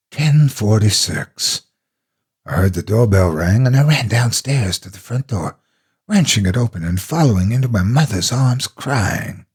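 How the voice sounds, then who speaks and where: A man with a husky, gravelly voice narrating a horror story in the first person, dramatically and with deliberate expression. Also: mid-range voice, a little mellow.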